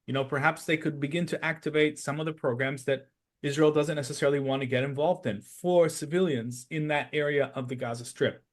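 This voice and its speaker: A man with a slightly high-pitched voice works through political analysis as a podcast host. He explains step by step and leans on key points for emphasis. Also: steady tone, a little fast.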